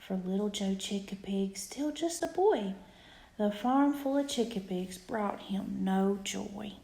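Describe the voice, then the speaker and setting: A woman reading a rhyming bedtime storybook aloud to a child in an expressive, rhythmic way. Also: medium pitch, measured pace, gravelly. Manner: rhythmic, animated read-aloud with emphatic questions.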